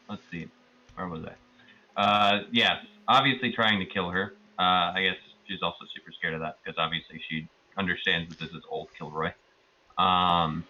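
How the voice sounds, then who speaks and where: A man's voice: lowish voice, sharp tone, highs cut off, slight background noise. A man giving a casual, rambling and amused recap of a tabletop roleplaying session as one of the players.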